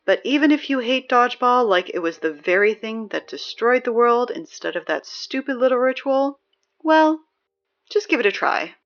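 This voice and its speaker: High-pitched, wry teenage school announcer. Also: female voice, fairly bright tone, highs cut off. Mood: peppy, cajoling. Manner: chatty, sardonic, emphatic. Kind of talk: scripted audio drama announcements.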